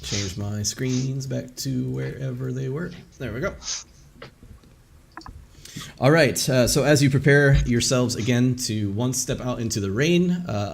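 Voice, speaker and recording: A man narrating as game master of an online tabletop game, casual and halting, with many fillers. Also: medium pitch, gravelly, slight background noise. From English-speaking country.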